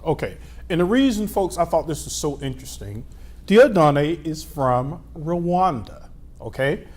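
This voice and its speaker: A middle-aged man hosting a local TV interview show, asking relaxed, friendly questions and filling pauses with "uh" and "um". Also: fairly high pitch. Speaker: TV show host.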